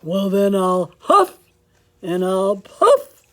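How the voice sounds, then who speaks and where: A woman's voice: mid-range voice, big pitch swings, drawn-out words. Woman putting on a blustering big bad wolf.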